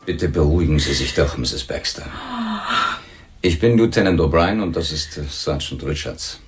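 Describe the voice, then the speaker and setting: A composed male police lieutenant with an extremely deep voice. He questions in a measured, courteous, probing way, in the style of a radio crime drama. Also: expressive, soft background music. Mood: calm, probing.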